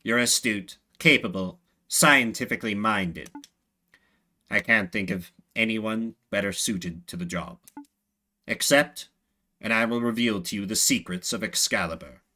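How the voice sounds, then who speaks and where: Man voicing a slow, very deep old rat. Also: pushed and bright. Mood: solemn. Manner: slow, solemn, formal. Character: very old dying rat plague master, grave and scholarly. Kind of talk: voiced story video game dialogue.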